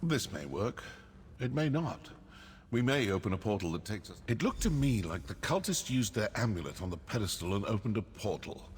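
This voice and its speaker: Low-voiced, cynical assassin. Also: male voice, big pitch swings, normal speed. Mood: dry, wary. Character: cynical male assassin, proud and businesslike. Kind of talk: scripted video game character dialogue. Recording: long reverb, clear background noise. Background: game ambience.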